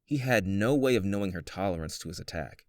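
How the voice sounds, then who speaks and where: A man's voice: low-pitched, lively intonation, brisk, dry recording, studio quality. A man voicing a stern guild official creature in a comic dub, formal, scolding and exasperated. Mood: stern, disappointed. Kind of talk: animated comic dub acting.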